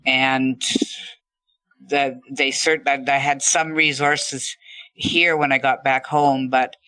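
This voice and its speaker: A woman's voice: extremely low pitch, flat delivery, slow, pushed and bright, clear, resonant tone, no echo, low-quality recording. A woman talking reflectively as a podcast guest, earnest and appreciative. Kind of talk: reflective interview talk.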